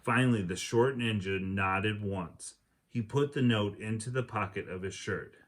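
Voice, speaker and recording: A man with a fairly low voice reads a children's adventure book aloud. He tells it expressively and acts out the characters' lines as he goes. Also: unhurried. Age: adult. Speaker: teacher reading aloud.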